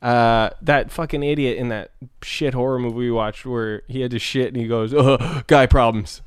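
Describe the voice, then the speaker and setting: A man chatting casually as a comedy podcast co-host, crude and joking, quick to laugh. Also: mid-range voice, fairly even intonation, clear, resonant tone, no room sound, studio quality.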